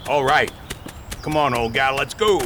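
A man's voice: medium pitch, animated, unhurried, fairly bright tone, a little hiss or hum. A man playing a kindly, folksy frontier doctor in an audio western. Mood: genial, helpful. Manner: genial, folksy. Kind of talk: amateur radio western acting.

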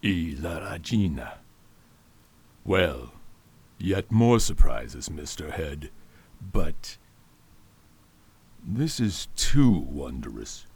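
An actor playing a scheming male doctor and poisoner in a radio drama, formal and quietly menacing. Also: very deep voice, big pitch swings, very slow, pauses often, husky, exaggerated voice. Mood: intrigued.

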